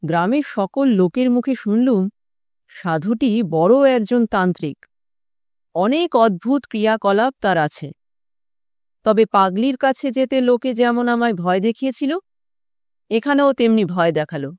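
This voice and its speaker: A woman's voice: a little high, animated, measured pace, long pauses, a little mellow, no echo, studio quality. Woman voicing a reflective occultist raconteur. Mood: reminiscing. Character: male tantric occultist recounting his wandering youth. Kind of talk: audiobook storytelling.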